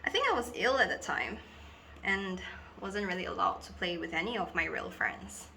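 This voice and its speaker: A woman performing a dramatic monologue as a lonely, wistful girl who confides haltingly and theatrically in an invisible friend. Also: mid-range voice, animated, gravelly, bright, forceful tone, a little hiss or hum. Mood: wistful, confiding. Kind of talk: dramatic monologue for an acting reel.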